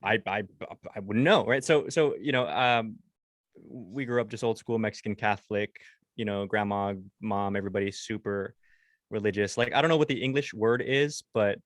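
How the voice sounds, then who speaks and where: A man's voice: lowish voice, moderate pace, no echo, clean studio sound. A man in his twenties, a dog-trainer podcast co-host, chatting casually and rambling with lots of restarts and fillers. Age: 20s.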